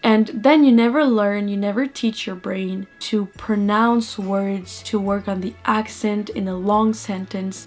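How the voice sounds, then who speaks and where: An earnest woman talks through her language-learning method in a casual, conversational way. She often circles back and restarts her sentences, and faint music plays beneath her. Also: medium pitch. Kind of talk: casual advice to camera.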